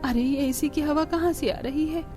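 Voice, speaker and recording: A woman acting as a timid young daughter-in-law from a cartoon family drama. Her voice is high and pleading, deferential to her elders, with music clearly playing behind her. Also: moderate pace, darkish tone, lightly acted. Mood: startled.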